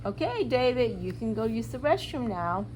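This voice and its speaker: A woman's voice: fairly high pitch, sing-song, moderate pace, a little mellow, pitched above the performer's own voice. A woman voicing a stern but kind schoolteacher in a children's picture book, speaking emphatically. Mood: encouraging. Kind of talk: picture-book read-aloud for young children. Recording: clearly noisy room recording.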